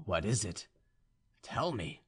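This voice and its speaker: An ardent young officer from a storybook romance, as a male narrator plays him. He is earnest and deferential, pleading his devotion with widely swinging pitch. He sounds humble and helplessly in love. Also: sing-song, measured pace, fairly bright tone, breathy edge, full character voice. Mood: humble, devoted. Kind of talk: audiobook character dialogue.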